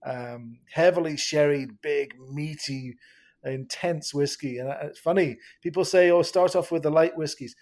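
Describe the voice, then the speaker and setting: A man's voice: a little high, normal speed, darkish tone. A Scottish man chatting as a podcast guest, laughing often and restarting his sentences. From Scotland. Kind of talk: casual podcast conversation.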